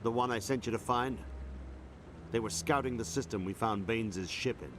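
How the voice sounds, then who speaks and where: A man's voice: medium pitch, normal speed, close to an everyday voice. An actor playing a worried male admiral who speaks with urgent earnestness. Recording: game audio, noisy.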